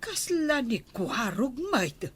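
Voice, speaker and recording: An actress playing an inquisitive, gossiping village neighbour in a radio comedy drama, with an Ilocano accent. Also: female voice, fairly high pitch, very animated, takes it slowly, breathy edge, no room sound, heavily acted. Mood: curious.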